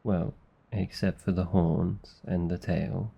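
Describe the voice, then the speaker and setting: A low, gravelly Australian male demon played by a man speaks unhurriedly in an intimate ASMR roleplay, swathed in long reverb. He is a menacing night creature with an awkwardly tender streak. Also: fairly even intonation, slow, full character voice. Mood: flustered, apologetic. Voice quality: deep, gravelly. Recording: slightly dull, long reverb.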